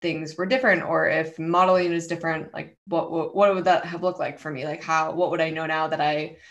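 A woman co-hosting a podcast, speaking reflectively with many fillers and pauses. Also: low-pitched, fairly even intonation, muffled recording. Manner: reflective, warm, hesitant, frequent fillers. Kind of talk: casual conversational chat.

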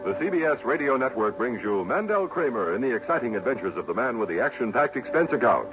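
Loud music behind, old radio recording, American male announcer, fast, very animated. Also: mid-range voice, lo-fi sound. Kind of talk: 1950s-60s dramatic radio announcing.

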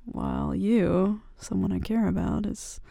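Woman playing a creaky, gentle bad-girl roommate. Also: medium pitch, huge pitch swings, no room sound, clean studio sound, heavily acted. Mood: sincere, tender. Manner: soft, hesitant, halting confiding talk. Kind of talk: intimate ASMR roleplay. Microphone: close, dry microphone.

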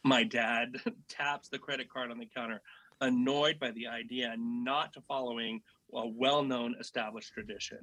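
A man co-hosting a podcast, reading a personal essay aloud and acting out the dialogue in an expressive storytelling manner. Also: medium pitch, normal speed, dry recording, rough recording. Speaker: podcast co-host.

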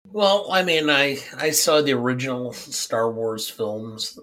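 A man's voice: mid-range voice, steady tone, slow pace, a bit bright. Scratchy middle-aged American man with a cold. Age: middle-aged or older. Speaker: podcast co-host. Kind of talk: casual film chat.

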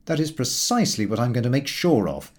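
Wary, wry gentleman, very animated man acting. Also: mid-range voice, fairly quick, natural voice. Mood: wry caution. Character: wary, wry Victorian gentleman on a cycling trip. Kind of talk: audiobook character dialogue.

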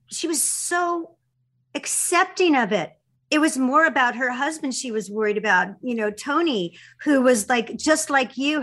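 A woman's voice: on the high side, fairly bright tone. A woman, middle-aged, telling personal stories in casual conversation with an effusive, emphatic manner. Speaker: guest, filmmaker.

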